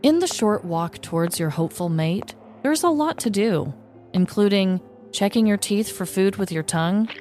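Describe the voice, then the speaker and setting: A young adult woman co-hosting a podcast, chatting casually and telling a story, animated with quick laughing asides. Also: medium pitch, soft background music. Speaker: podcast co-host. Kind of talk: casual chat and scripted storytelling.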